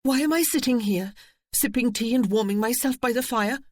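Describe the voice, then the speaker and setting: A narrator voicing a distraught young gentlewoman whose voice shakes with pleading. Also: moderate pace, a little hiss or hum, lightly acted. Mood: frantic. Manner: shaking, pleading, high-strung.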